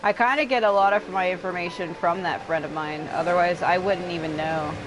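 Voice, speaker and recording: A woman's voice: lowish voice, steady tone, brisk, music in the background, low-quality recording. A woman chatting casually as a livestreamer, rambling and venting with casual swearing. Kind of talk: casual gaming livestream chat.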